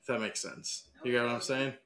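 A man's voice: mid-range voice, level tone, a little fast, a bit bright. A man moderating a casual debate as co-host, asking questions in a conversational way. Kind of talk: casual chat. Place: wood-panelled room, shared table. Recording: noisy room microphone.

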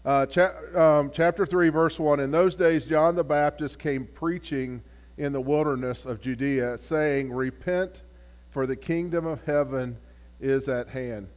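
Pastor, male, phlegmy throat, halting Bible study delivery with fillers. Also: on the high side, fairly even intonation, a little mellow. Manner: halting, repeats words, many fillers. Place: church. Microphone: camera.

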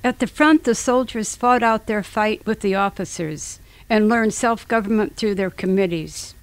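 A woman's voice: medium pitch, normal speed, no room sound. Woman giving a dramatic radio reading. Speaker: reader.

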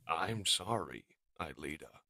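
A man voicing a heroic, wrathful robot commander in slow, dramatic declarations for a comic dub. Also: fairly high pitch, expressive, sharp tone, breathy edge, no echo, studio quality, voice pushed up, exaggerated voice. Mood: solemn.

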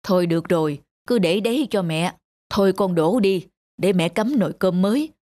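An actress playing a kindly elderly mother-in-law in a cartoon, calm and gently directing, with a northern Vietnamese accent. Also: on the low side, normal speed, lightly acted. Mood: calm, practical. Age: older. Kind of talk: animated moral-tale dialogue.

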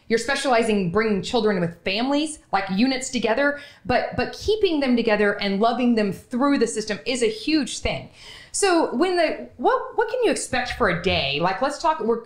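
A woman in her thirties hosts in a fairly high voice that moves up and down a lot. She is emphatic and conversational, recorded with noticeable room echo. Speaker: podcast host. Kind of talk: conversational interviewing. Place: small wood-panelled podcast studio, indoors. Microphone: studio microphone on boom arm. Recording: roomy studio echo.

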